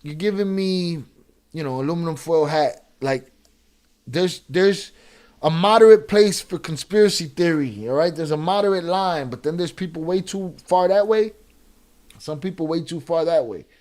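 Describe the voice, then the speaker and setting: Reaction streamer, man, somewhat high-pitched, sarcastic commentary with stop-start delivery. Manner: animated, sarcastic, drawn-out restarts.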